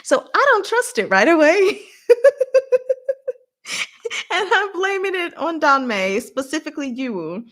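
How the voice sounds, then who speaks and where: A woman hosting a casual live book discussion, retelling the plot in an animated, giggly, informal way with acted-out asides. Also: sits high, measured pace, pauses often. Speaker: host of a livestream book discussion. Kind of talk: casual chatty live story recap with commentary.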